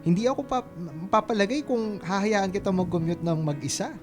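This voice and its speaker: A man playing a kind, playful young suitor in a Filipino radio drama, with a coaxing, expressive delivery. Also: sits high, fairly quick, faint music behind. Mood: caring, coaxing. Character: Romel, kind, playful, caring young man courting a volunteer.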